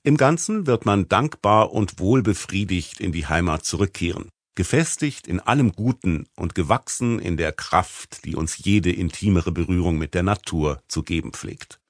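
Male audiobook narrator, low voice, wry and articulate, dry close sound. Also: lively intonation, normal speed, few pauses, no echo. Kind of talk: scripted literary narration.

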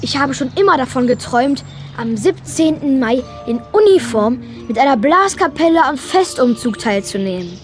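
A woman's voice: sits high, lively intonation, moderate pace, soft background music. An actress playing a tiny, cheeky, confident young boy in a children's radio play, chirpy and showy in manner. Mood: eager. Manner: chirpy, self-assured, showy.

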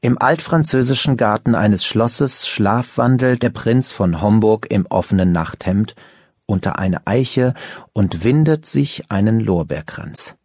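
A man reads scripted audiobook narration in a low, husky voice. The sound is dry, with no room around it. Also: animated, measured pace, darkish tone, no room sound.

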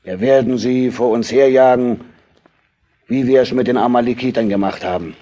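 Radio play role: male actor as blustering old-campaigner soldier, mid-pitched, dark soft tone. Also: normal speed, dull audio, natural voice. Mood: boastful, dismissive. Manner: blustering, dismissive. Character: cocky, bragging veteran soldier. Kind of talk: German biblical radio play acting.

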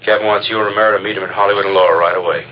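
Muffled, noisy old radio sound with an actor playing a homicide officer phoning in a report. He is low, very fast and businesslike, delivering clipped facts flatly in 1950s drama style. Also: lightly acted. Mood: urgent, businesslike. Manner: businesslike, rapid-fire, flat. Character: brisk male homicide officer reporting by telephone.

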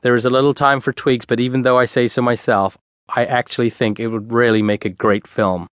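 A man's voice: mid-range voice, little pitch movement, brisk, a bit bright, clear, resonant tone, no room sound, close to an everyday voice. A man voicing a protective, insecure woman scriptwriter in an earnest, defensive manner.

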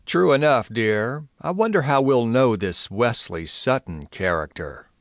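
A man's voice: mid-range voice, expressive, measured pace, no echo, studio quality. A man playing a courteous, affable husband on a journey in an audio drama. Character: courteous, affable husband travelling by train with his wife.

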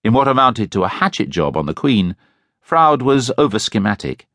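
A man narrating a history audiobook in a fairly low voice that rises and falls with lively, expressive emphasis. His reading is measured and deliberate. The sound is dry and close, with no room echo, as from a studio booth. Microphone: close studio microphone.

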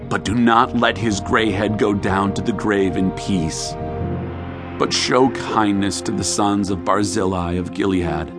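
A man as a grave old king, low-voiced. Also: natural voice. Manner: solemn, deliberate. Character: grave old dying king advising his son. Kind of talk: dramatized scripture audiobook acting. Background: music.

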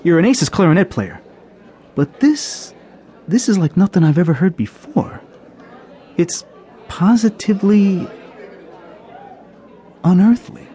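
An excitable jazz drummer. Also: male voice, high voice, some chatter behind. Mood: amazed. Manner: excitable, quick and amazed. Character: excitable male jazz drummer, friendly bandmate. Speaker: actor in audio drama. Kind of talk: radio drama acting.

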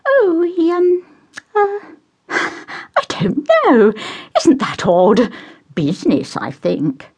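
A shrill gushing society mother. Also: wildly swooping pitch, drawn-out words, pauses often, darkish tone, husky, exaggerated voice. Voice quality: shrill and high-pitched, gushing. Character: gushing meddling upper-class mother, middle-aged.

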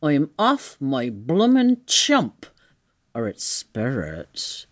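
A man's voice: medium pitch, huge pitch swings, drawn-out words, no room sound, clean studio sound, full character voice. A man voicing a scruffy, bewildered tramp in an audiobook, muttering and exclaiming in slang. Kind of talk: audiobook character voice.

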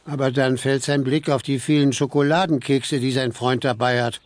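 A man with a gravelly voice and a standard German accent, narrating a children's story in an animated way. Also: medium pitch, normal speed.

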